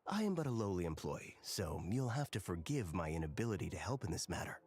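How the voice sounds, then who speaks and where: An actor playing a polite, formal banquet doorman who speaks courteously and deflects requests gently. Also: sits low, big pitch swings, fast. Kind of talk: video game voice acting. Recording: faint game music behind.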